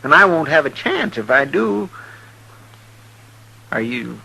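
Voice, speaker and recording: An actor playing an anxious, simple frontier deputy in a radio western, with a rural Western drawl and a halting, pleading manner. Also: male voice, fairly high pitch, sing-song, creaky, pushed and bright, breathy edge, full character voice, poor audio. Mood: dejected, worried. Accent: rural Western American drawl. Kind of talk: 1950s radio western acting. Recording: old radio recording, faint hum and hiss.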